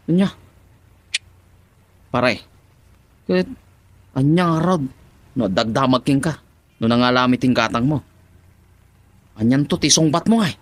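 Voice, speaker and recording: A man's voice: medium pitch, animated, measured pace, long pauses, no room sound. Man voicing a gleeful crook, Ilocano accent, chuckling, boastful, radio drama. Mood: incredulous. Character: gleeful male criminal henchman, laughing often.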